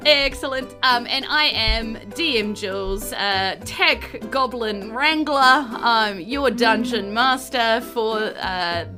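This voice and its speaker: A woman with a New Zealand accent hosting a podcast as dungeon master in a lively, casual style. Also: fairly high pitch, takes it slowly, few pauses, music in the background. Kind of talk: lively casual podcast intro and game recap.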